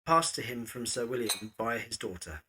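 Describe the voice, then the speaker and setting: A man's voice: medium pitch, animated, normal speed, sharp tone, slight background noise, poor audio. A man reading scripted scene-setting narration for an audio drama. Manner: descriptive, present-tense stage directions.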